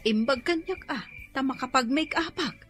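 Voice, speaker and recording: A woman's voice: high voice, normal speed, fairly bright tone. A woman acting a weary, grumbling neighbour who lacks sleep, in an Ilocano-accented radio drama.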